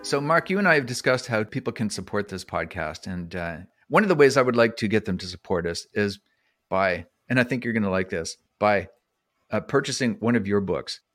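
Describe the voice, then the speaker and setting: A man hosting a podcast, steering a casual chat in halting, piecemeal phrases. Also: on the low side, animated, fairly bright tone, no echo, clean studio sound, soft background music. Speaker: podcast host.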